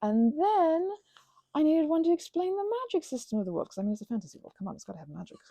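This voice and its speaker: A woman's voice: high-pitched, big pitch swings, fast, gravelly, mellow, no room sound. A young adult woman chatting casually as she crafts, in a scattered, self-interrupting way, gushing and poking fun at herself as she wanders off on tangents. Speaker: craft and ASMR channel host. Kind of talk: casual rambling chat while crafting.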